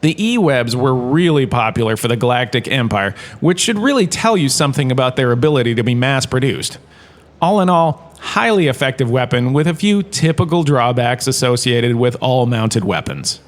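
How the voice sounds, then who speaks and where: A man's voice: mid-range voice, lively intonation, normal speed, natural voice. A man playing a washed-up showbiz star turned university lecturer, giving a chatty, jokey scripted lecture in a comedy audio drama. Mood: salesman-like enthusiasm. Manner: chatty, jokey, showman-like salesman patter.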